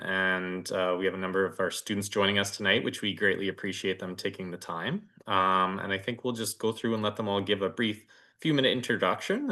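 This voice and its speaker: A man's voice: on the low side, sharp tone. A man moderating an online information session in an informal, spontaneous way. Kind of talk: informal hosting of an online presentation.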